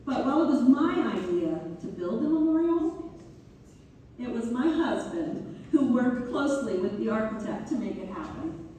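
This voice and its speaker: Gracious mellow actress as a benefactress, echoing hall. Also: female voice, a little high, lively intonation, moderate pace, lightly acted. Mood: proud, sincere. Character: gracious, idealistic woman benefactor honoring her parents. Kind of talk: dramatic read-through on stage. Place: reverberant hall, community theater performance. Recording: echoey hall from far off, faint noise.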